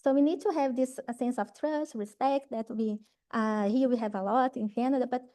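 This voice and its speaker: A woman's voice: fairly even intonation, brisk, mellow. A woman from Brazil with a Brazilian Portuguese accent, speaking English as a second language, gives an informal talk to trainees in a hesitant way with many fillers, restarts and a frequent "right?". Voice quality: low voice.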